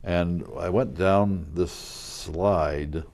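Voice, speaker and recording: An older man, a local historical reenactor, telling rambling stories in a casual interview, with a loud voice and many fillers and restarts. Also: sits low, fairly even intonation, drawn-out words. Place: community TV studio.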